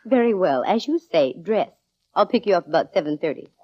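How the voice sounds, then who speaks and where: An actress playing a cool, wisecracking female private detective in 1940s radio style, brisk, dry and self-assured. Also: fairly low pitch, expressive, no echo. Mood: teasing. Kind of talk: 1940s radio acting, first-person detective narration. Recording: dull vintage radio recording, music underneath.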